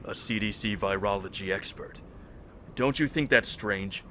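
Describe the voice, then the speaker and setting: A man's voice: fairly low pitch, a little slow, background noise, lightly acted. A man playing a guarded, suspicious man with security training in an audio drama, speaking seriously and with authority. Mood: tense, insistent.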